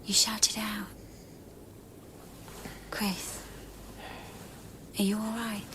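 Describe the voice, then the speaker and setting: A fragile, anxious woman in love in an intimate radio drama. She speaks very slowly and evenly, soft and nearly whispering, with ambient noise clearly behind her. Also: medium pitch, level tone. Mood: concerned, searching. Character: fragile, anxious young female lover, softly searching.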